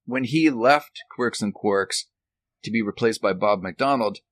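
A man's voice: lowish voice, unhurried. A man chatting casually and telling stories about himself in a self-deprecating way. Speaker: podcast guest and author. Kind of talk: casual reminiscing chat.

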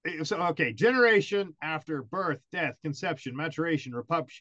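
A man's voice: on the high side, lively intonation, fairly quick, bright, forceful tone, dry recording, dull audio. An American man hosting an interview, rambling and hesitant with many fillers and restarts. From United States. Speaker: interviewer host. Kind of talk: casual interview chat.

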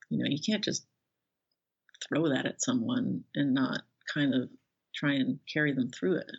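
An older woman speaking as an interview guest, thoughtful and conversational, pausing as she searches for words. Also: a bass voice, husky. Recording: slightly dull, band-limited audio.

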